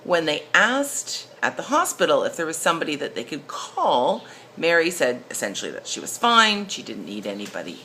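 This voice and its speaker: Female instructor, very low register, animated intonation; informal explainer narration. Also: normal speed, a little hiss or hum. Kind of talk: recorded lesson, case study narration.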